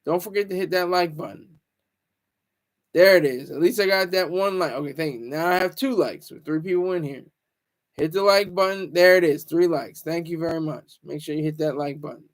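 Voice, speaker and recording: A man's voice: fairly high pitch, a little fast. A man hosting a casual livestream, rambling, teasing viewers and stumbling over restarts. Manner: stammers and restarts often. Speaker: livestream host.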